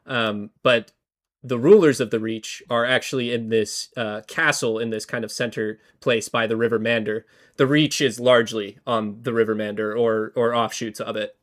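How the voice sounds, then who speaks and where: A man co-hosting a podcast, slightly hoarse from a cold, talking casually with lots of fillers and restarts. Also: medium pitch, steady tone, moderate pace, fairly bright tone. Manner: rambling, many fillers and restarts. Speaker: podcast co-host. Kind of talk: casual history chat.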